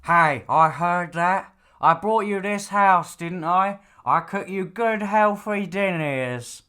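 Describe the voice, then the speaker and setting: A man's voice: sits high, slow, bright, forceful tone, clean tone, pitched above the performer's own voice, exaggerated voice. Stern, nagging father, acted by a male reader, clean resonant voice, lecturing, bossy audiobook dialogue. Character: stern, nagging, exasperated father of a demon slayer.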